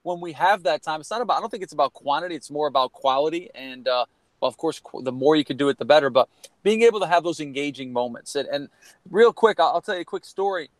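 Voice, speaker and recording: A man around forty, originally from Connecticut, tells his story earnestly and in a rambling way, circling back with "you know." His voice sits a little high for a man. The recording is close and dry. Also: lively intonation, fast, no echo. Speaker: guest, former college baseball player. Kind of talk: candid storytelling in a podcast conversation.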